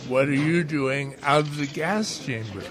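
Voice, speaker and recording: A man acts out a drunken, long-winded sidekick from an old radio detective play. His voice sits a little high and moves slowly. He rambles with wry, theatrical philosophizing. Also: a bit bright, slight background noise. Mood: dry, needling. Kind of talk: old-time radio detective drama acting.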